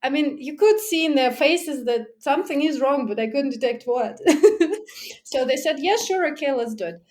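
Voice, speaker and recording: A woman's voice: high voice, moderate pace. A woman being interviewed about her diving, talking casually with frequent laughter and acting out her own remarks. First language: not German. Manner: lively, laughs often, acts out her own past remarks; restarts and fillers like "like". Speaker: guest, technical diver and underwater photographer.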